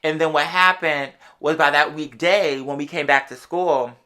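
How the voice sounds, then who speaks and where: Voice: mid-range voice, fairly even intonation, moderate pace, pushed and bright. A young adult with an American accent telling stories to viewers in a sassy, slangy, conversational way. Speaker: vlogger. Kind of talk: casual storytelling to viewers.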